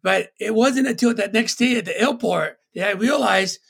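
Interview guest, man; higher voice, husky, earnest and conversational. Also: a bit bright. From Tonga. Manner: earnest, fills pauses with "you know". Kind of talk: personal storytelling in conversation.

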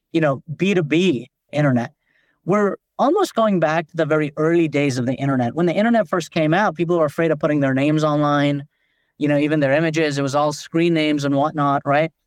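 A man from Nepal speaks as a guest on a business podcast, walking through his ideas with frequent "like" fillers. His voice sits a bit high. The sound is dry and close, with no room echo. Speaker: company founder and CEO, guest.

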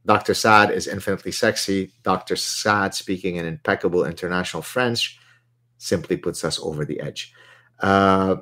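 Low-voiced man hosting live Q&A. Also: moderate pace, bright, forceful tone. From Lebanon. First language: Arabic. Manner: chatty, boastful, reads out chat questions. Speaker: host answering viewer questions. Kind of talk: live Q&A chat.

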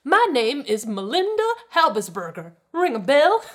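A haughty, rich older woman played by a female reader in a comic story read-aloud. She sneers and snarls in a high, swooping voice with an affected accent, sounding indignant and snooty. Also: sing-song, moderate pace, a bit bright. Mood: shrieking, haughty. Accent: put-on, affected.

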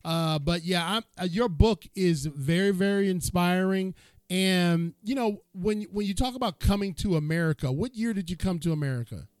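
A male host with a notably high voice, talking in a folksy, joking way. The sound is close and dry, with no room echo. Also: normal speed, clean tone. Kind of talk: casual interview chat.

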